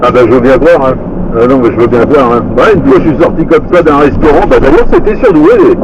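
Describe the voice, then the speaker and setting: A man's voice: mid-range voice, big pitch swings, quick pace. A man chatting casually over CB radio from a vehicle, rambling and repeating his phrases. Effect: CB radio transmission. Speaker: CB radio operator, driver. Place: inside a moving vehicle. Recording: dull lo-fi radio, loud vehicle noise. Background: loud car noise.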